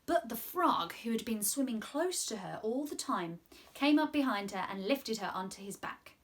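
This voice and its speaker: A woman in her twenties reading a story to children in an expressive, encouraging way. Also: on the high side, moderate pace. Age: 20s. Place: small room at home, indoors.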